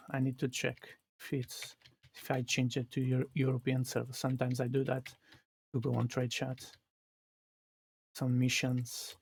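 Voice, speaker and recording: A man from Portugal with a Portuguese accent, explaining his game live in a halting way that restarts phrases. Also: mid-range voice, gravelly, darkish tone, slight background noise. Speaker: streamer. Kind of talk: live gameplay commentary.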